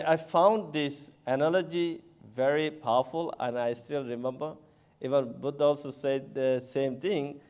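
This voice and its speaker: A man who is a Buddhist monk from Bangladesh, giving an unhurried symposium talk with frequent chuckles and hesitations. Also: a little high, a little mellow. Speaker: Buddhist monk, panel speaker.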